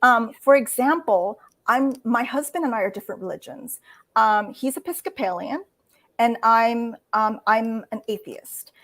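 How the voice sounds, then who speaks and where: Woman, middle-aged, fairly high voice, earnest, lots of fillers and restarts, casual interview guest. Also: unhurried, a bit bright. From United States. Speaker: guest writer.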